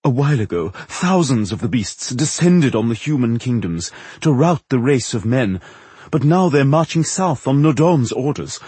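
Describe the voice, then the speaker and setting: A man voicing a theatrical, excitable actor-impresario in an audiobook, with a dramatic manner. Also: on the high side, slightly rough, no echo, pitched above the performer's own voice. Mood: dramatic alarm. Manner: dramatic, halting when flustered.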